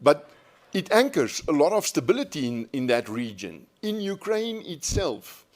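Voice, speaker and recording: A man speaking as a conference panelist, telling an account with emphatic, deliberate repetition. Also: a little high, sing-song. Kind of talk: panel discussion, anecdotal.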